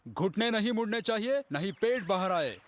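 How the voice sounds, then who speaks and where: A man's voice: high voice, expressive, normal speed, background noise. A man voicing a patient, helpful uncle in a Hindi cartoon dub, speaking like an encouraging instructor. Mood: instructing.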